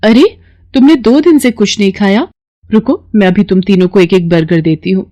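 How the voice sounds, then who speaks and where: A woman performs a young working mother in a cartoon story. She sounds earnest and hopeful, with a pleading way of speaking at a medium pitch. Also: moderate pace, warm tone, no room sound, lightly acted. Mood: concerned, kind.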